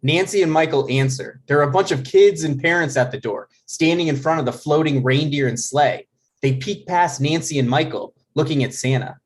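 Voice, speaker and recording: A man's voice: on the high side, moderate pace. A man narrating the stage directions of a comedy script at a table read.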